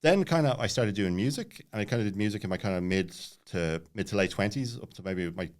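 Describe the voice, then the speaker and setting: A man in his late thirties with a lowish voice. He talks rapidly and casually, like a podcast guest telling his own story, often looping back and restarting his sentences. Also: expressive. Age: late 30s.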